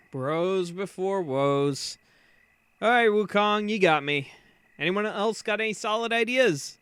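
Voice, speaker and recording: Man playing a high-voiced, nervous teenage boy. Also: lively intonation, slow pace, fairly bright tone, clean tone, dry recording, exaggerated voice. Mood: resigned. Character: cowardly, anxious teenage boy hero. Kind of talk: fan audio drama acting.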